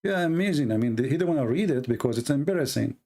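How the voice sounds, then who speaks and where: Blunt Arabic-accented man, gravelly. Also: mid-range voice, animated, quick pace, warm tone. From Middle East. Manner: blunt, mocking, emphatic repetition, broken grammar. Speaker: debate show host. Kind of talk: casual livestream commentary.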